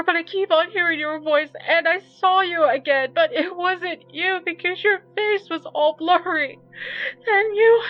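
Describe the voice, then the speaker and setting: A frantic wife in a horror story, acted out by the narrator, sobs and gasps in a raised, high voice. She sounds terrified and short of breath, pleading through tears. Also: muffled recording. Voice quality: breathy, gasping. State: crying.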